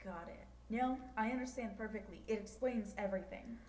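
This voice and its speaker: A woman's voice: mid-range voice, brisk, a little mellow, close to an everyday voice. Hurt, exasperated girlfriend, echoey and distant, acted by a woman. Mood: hurt, bitter. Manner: confrontational, sarcastic, wounded. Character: hurt, exasperated girlfriend in a bitter breakup argument. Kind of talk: audio roleplay acting. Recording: echoey, distant memory-like sound, faint noise.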